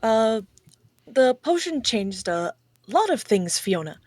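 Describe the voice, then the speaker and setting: A woman reading a smarmy young prince who passes himself off as someone else, in slow and lively fake-earnest tones at a live table read. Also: on the high side, no room sound, exaggerated voice. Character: Prince Charming posing as Shrek, smarmy young man.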